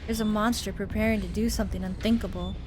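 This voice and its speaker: A woman's voice: medium pitch, fairly even intonation, fast, background noise. A woman reading visual novel text aloud expressively, with casual commentary as she plays. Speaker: gamer narrating a visual novel playthrough. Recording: music behind the voice. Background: game music.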